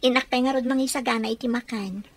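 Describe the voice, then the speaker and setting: A woman playing a caring, practical young bride-to-be in a radio drama, solicitous and gently insistent. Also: a little high, fairly even intonation, quick pace, close to an everyday voice.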